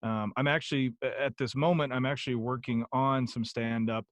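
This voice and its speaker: A man's voice: medium pitch, steady tone, fairly quick, no room sound. A young man chatting as a podcast guest, rambling with many ums and restarts. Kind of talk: casual interview chat.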